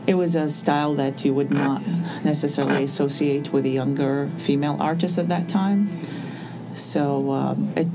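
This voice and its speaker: A woman, speaking as an artist in an interview and pausing often with fillers. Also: very deep voice, poor audio. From Iraq. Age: 40s. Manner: hesitant, with many pauses and fillers. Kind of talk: reflective interview answers. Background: soft music underneath.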